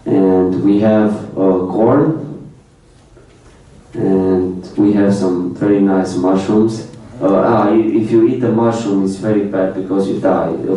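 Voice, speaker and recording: A man's voice: deep voice, warm tone. A young man whose first language is Romanian gives an informal slide presentation in plain, repetitive English. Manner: plain speech in non-native English with many repetitions, light laughs. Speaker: foreign student presenter. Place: university lecture room. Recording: echoey lecture room, mic at a distance.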